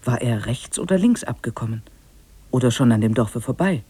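A man narrating a literary tale in a 1950s German radio drama, in a measured, solemn manner. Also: mid-range voice, lively intonation, mellow, breathy edge, no room sound.

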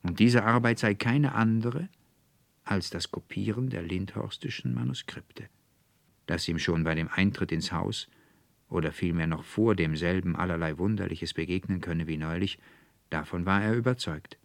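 A man with a standard German accent narrating a literary fairy tale in a measured, dramatic, precise manner. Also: sits low, creaky, no room sound. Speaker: audiobook narrator.

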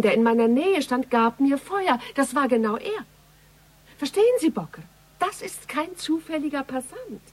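Brooding female investigator, often hushed. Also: fairly high pitch, husky. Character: brooding, sharp-minded female investigator on a case. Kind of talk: radio crime drama acting.

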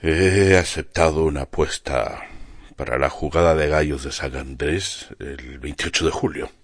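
A man's voice: rumbling low, a little slow, no room sound, clean studio sound. An actor playing a proud, stubborn father in a radio drama, speaking emphatically. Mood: grave. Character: proud, stubborn father who keeps a fighting cock. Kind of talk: Spanish-language radio drama acting.

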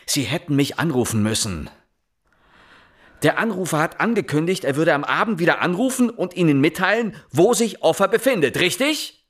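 An actor playing an intense, relentless police inspector in a German radio drama, pressing with insistent, halting questions. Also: male voice, on the high side, very animated, a bit bright. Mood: exasperated, accusing. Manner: insistent, with halting repeated words and pointed questions. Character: male police investigator interrogating, intense, relentless, exasperated.

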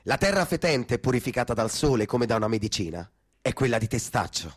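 A man's voice: mid-range voice, big pitch swings, a little fast, husky. A man reciting poetic, scripted narration for a radio play in a theatrical style, with music behind him.

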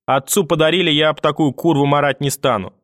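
Man acting out a venomous, domineering old grandmother, raised pitch. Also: steady tone, fairly quick, a bit bright, dry recording, clean studio sound, lightly acted. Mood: furious. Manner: scolding, sarcastic, venomous. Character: spiteful, domineering, cursing old Russian grandmother. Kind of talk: audiobook character acting.

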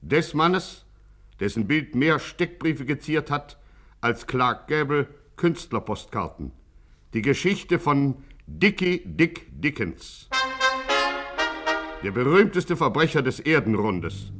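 A man's voice: mid-range voice, big pitch swings, takes it slowly, a bit bright, faint music behind, full character voice. A man playing a pompous, mock-solemn narrator of a satirical 1950s radio gangster story. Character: grandiose, mock-serious male chronicler of a gangster.

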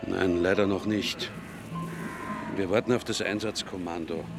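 An actor playing a curt, dry police officer with an Austrian accent in a radio crime drama. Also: male voice, deep voice, fairly even intonation, measured pace, vocal fry, music in the background. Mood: matter-of-fact.

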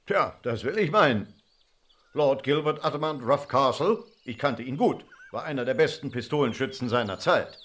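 An actor playing a chatty gentleman companion in a radio play, genteel and mannered. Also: medium pitch, lively intonation, moderate pace, close to an everyday voice. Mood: reminiscing.

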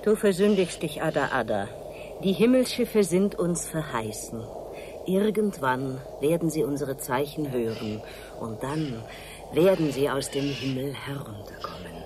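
A woman's voice: rumbling low, very animated, unhurried, noisy background, full character voice. An actress playing a devout older woman prophesying salvation in a solemn, incantatory radio drama style. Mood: solemn, reproachful. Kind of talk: German radio drama acting.

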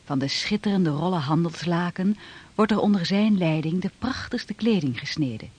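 Husky woman narrating a radio drama. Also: on the low side, expressive, normal speed, a little mellow.

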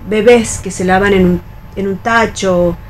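An Argentine woman chatting on a radio panel in a halting way, often checking that the others agree. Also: medium pitch, steady tone, slight background noise, poor audio. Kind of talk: casual round-table discussion.

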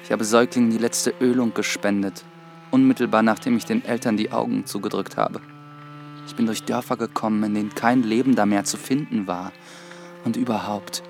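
A man's voice: lowish voice, gravelly. A man playing an earnest, dutiful young priest in a radio drama, speaking formally and precisely. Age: young adult.

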